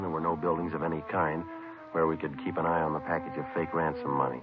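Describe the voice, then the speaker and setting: Police detective, American, very deep pitch, deadpan, clipped narration, vintage radio drama, phone-like bandwidth, background music. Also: male voice. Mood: businesslike. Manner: deadpan, matter-of-fact, clipped. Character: terse, businesslike male police detective sergeant narrating his case. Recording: muffled vintage radio recording with music behind.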